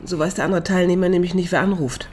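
An actress playing a practical, encouraging friend with a wry streak in a radio crime drama, speaking in an animated, conversational way. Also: female voice, fairly low pitch, fast talker, a little hiss or hum, natural voice.

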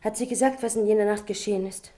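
A woman's voice: medium pitch, fairly even intonation, fast talker, warm tone, lightly acted. A woman playing a polite, concerned companion of an investigator in a German radio play.

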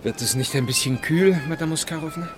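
An actor playing a courteous, curious, slightly reserved gentleman in a German radio drama, with polite, formal speech. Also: fairly high pitch, fairly quick, close to an everyday voice. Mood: polite, apologetic. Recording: clear background ambience noise.

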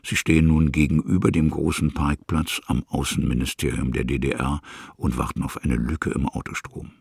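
An extremely low-voiced man narrates a literary story. His voice is heavily creaky and very husky, and he moves in measured short phrases with pauses. The sound is dry and close. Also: little pitch movement, no room sound. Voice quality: gravelly, husky.

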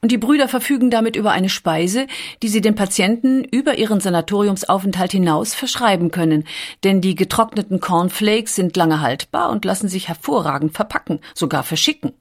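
A woman reading scripted documentary narration with measured, carefully articulated storytelling. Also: medium pitch, lively intonation.

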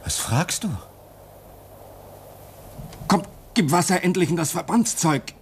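Radio play: injured polar station man, gruff, prickly, short-tempered, slightly high pitch, noisy archival sound. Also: lively intonation, takes it slowly. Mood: impatient, evasive. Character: gruff, defensive male polar crewman with injured leg.

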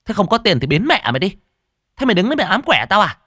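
A man's voice: wildly swooping pitch, a little fast, a bit bright, breathy edge, dry recording, clean studio sound, full character voice. A man voicing a fat, foul-mouthed, furious woman who runs a rice eatery, scolding and cursing in barked questions. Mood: irritated, scornful. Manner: shrill cursing, scolding, barking rhetorical questions.

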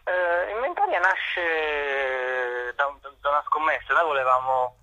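A man giving unscripted, halting answers as a guest in a radio interview, searching for words. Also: a little high, animated, sharp tone, dry recording, lo-fi sound. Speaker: theatre festival director, interview guest.

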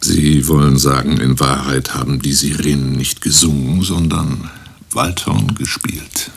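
A man playing an eccentric, pompous guru-like professor in a radio play, lecturing with drawn-out emphasis. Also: rumbling low, steady tone, gravelly, husky, heavily acted. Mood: musing. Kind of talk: German radio play acting.

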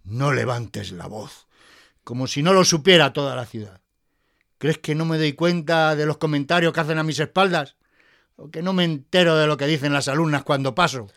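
A man performs a lovelorn schoolteacher in an audio drama, his voice on the high side. He is nervous and hesitant, and wounded reproach runs through his impassioned delivery. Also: moderate pace, fairly bright tone. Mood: wounded, reproachful. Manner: hesitant, stammering, turning impassioned. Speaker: actor.

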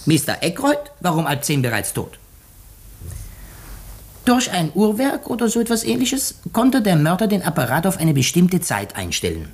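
A shrewd old-time radio detective, husky voice that swings up and down, slow dramatic pauses. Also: male voice, sits high, huge pitch swings, full character voice. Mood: measured, deducing. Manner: deliberate, theatrical explaining with dramatic pauses. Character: shrewd, methodical male detective unmasking a murderer. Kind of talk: 1950s German radio play acting.

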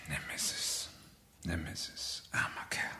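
An actor playing a brooding, guilt-ridden doctor with a subdued, hesitant delivery in a German radio crime play. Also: male voice, slow, bright, forceful tone, in a whisper. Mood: shaken, evasive. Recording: roomy, hissy old radio recording.

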